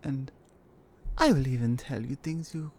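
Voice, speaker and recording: A woman playing a menacing, seductive Russian mafia captor in an intimate ASMR roleplay, with a Russian accent and a deliberate, controlled delivery. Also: extremely low pitch, darkish tone, a little hiss or hum. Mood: seductive, coaxing.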